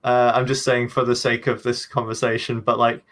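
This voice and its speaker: A man's voice: mid-range voice, little pitch movement, moderate pace, fairly bright tone. A man video creator chatting casually on a panel, with a slightly nasal voice, stumbling over restarts and joking at his own expense. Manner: rambling, stumbling restarts, self-deprecating. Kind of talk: casual online panel chat.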